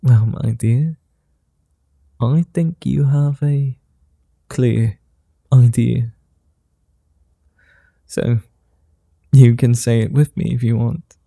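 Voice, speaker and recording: A man playing a suave, flirty vampire in an ASMR roleplay, speaking softly in courtly, formal phrases with a gentle, teasing manner. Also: medium pitch, steady tone, no echo, clean studio sound, heavily acted. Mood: smooth, seductive. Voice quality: soft, dark, breathy. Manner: courtly formal wording, unhurried pauses, teasing.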